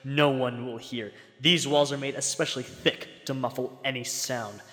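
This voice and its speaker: Smooth-mannered villain in a reverberant hall played by a man. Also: mid-range voice, fairly even intonation, normal speed, fairly bright tone, close to an everyday voice. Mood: gloating menace. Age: young adult. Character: outwardly polite, secretly sinister male villain, a restorer. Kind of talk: classic radio drama acting.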